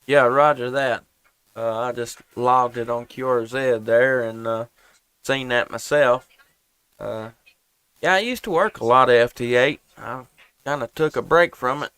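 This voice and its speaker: A man's voice: medium pitch, unhurried, a bit bright, clear, resonant tone, no room sound. A man making casual amateur radio contacts, talking in a folksy, hesitant way. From Tennessee. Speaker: amateur radio operator, live streamer.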